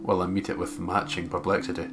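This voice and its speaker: A man's voice: low-pitched, little pitch movement, fast talker. A Scottish man doing a let's play, reading visual novel narration aloud with some casual commentary. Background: guitar music.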